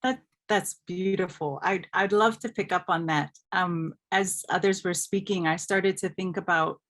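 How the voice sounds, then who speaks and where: Woman from Hawaii, slightly low pitch, earnest, reflective storytelling, emphatic repetition, panel talk. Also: moderate pace, a bit bright. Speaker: panelist, scholar.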